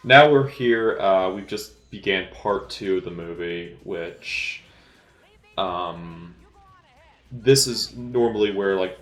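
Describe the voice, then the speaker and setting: A man giving casual, unscripted movie commentary as an amateur, speaking hesitantly with halting, trailing pauses. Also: low voice, a bit bright, reverberant.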